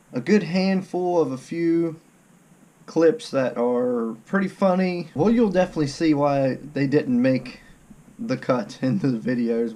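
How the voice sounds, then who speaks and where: A man with a husky voice talking casually to camera as a video blogger, rambling a little and hesitating as he goes. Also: mid-range voice, warm tone. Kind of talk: casual vlog talk to camera.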